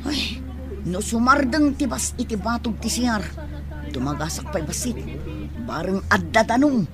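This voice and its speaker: A woman's voice: a little high, lively intonation, a little slow, music in the background. A woman acting a frightened, exhausted migrant worker fleeing a war in an Ilocano radio drama, anxious and plaintive. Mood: weary grumbling.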